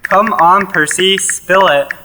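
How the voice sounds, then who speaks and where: A man's voice: high-pitched, fairly even intonation, slow, noisy background. A young man playing an upbeat, sociable teenage boy in an audio play. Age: teens to young adult. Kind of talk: youth audio play acting.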